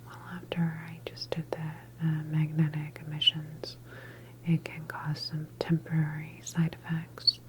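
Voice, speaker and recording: A woman whispering softly and breathily in an ASMR medical roleplay as a calm, reassuring doctor examining a patient. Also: low voice, background noise. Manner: gentle, unhurried, reassuring bedside manner. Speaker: ASMR performer playing a government facility doctor.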